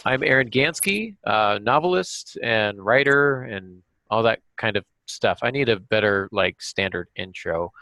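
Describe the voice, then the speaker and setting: A man's voice: fairly low pitch, animated, measured pace, hardly any pauses, bright, forceful tone, no echo. A man hosting a podcast in a casual, informal way with some self-deprecating asides. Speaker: podcast host.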